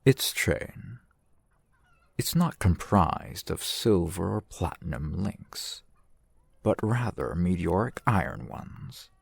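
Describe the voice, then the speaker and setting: A man's voice: low voice, sing-song, slow, vocal fry, slightly rough, no room sound, full character voice. A man playing a courteous, shrewd fantasy shopkeeper in an ASMR roleplay, with formal, eloquent merchant patter. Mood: persuasive, earnest. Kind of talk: ASMR fantasy roleplay, softly acted one-sided dialogue.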